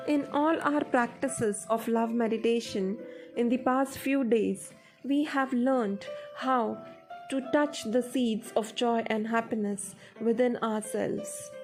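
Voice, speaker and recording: A woman narrating a guided meditation in a measured, instructive manner. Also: fairly high pitch. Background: music.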